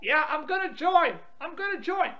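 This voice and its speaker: A woman's voice: high-pitched, animated, moderate pace, a crowd in the background. A woman with an irritable, confrontational manner, bantering on a phone call in dull lo-fi audio. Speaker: prank call participant. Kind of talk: phone banter, reading out weather updates.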